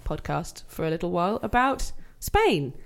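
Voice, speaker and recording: A woman co-hosting a comic podcast, giggly and animated. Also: lowish voice, moderate pace, vocal fry. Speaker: podcast host.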